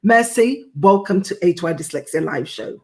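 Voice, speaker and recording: A woman's voice: fairly low pitch, animated, normal speed. A woman hosting a live online interview show, friendly and welcoming as she introduces her guest over a video call. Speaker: host.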